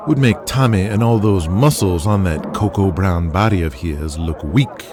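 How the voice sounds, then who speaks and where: A man playing a skinny, theatrical wrestling villain with a teasing, flowery, drawn-out delivery in an audio drama. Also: sits low, background noise, close to an everyday voice. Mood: mocking. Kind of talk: audio drama character acting.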